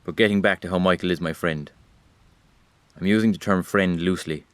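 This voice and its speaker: A man's voice: sits low, fairly even intonation, fairly quick, fairly bright tone, dry recording. A man playing Rain as a weary observer of humanity, addressing the listener directly in a wry, reproachful audio drama monologue. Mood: wry, sombre.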